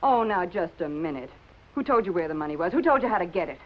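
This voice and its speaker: Brassy scheming noir dame, swooping intonation, sharp-tongued, greedy, muffled vintage film soundtrack. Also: female voice, on the low side, animated, quick pace. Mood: brazen, persuasive. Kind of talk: 1950s film noir acting.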